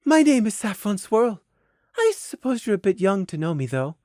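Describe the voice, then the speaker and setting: A woman voicing a refined unicorn mare in a storybook, soft, polite and hesitant. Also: medium pitch, sing-song, moderate pace, no room sound, studio quality, pitched above the performer's own voice, natural voice. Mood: gentle, polite. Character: refined unicorn mare mayor, kindly masking despair.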